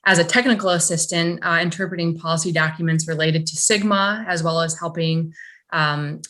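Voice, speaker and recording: Bright-voiced young woman with many fillers. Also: lowish voice, fairly even intonation, moderate pace. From Michigan. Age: young adult. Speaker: new science fellow. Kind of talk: self-introduction at a public meeting.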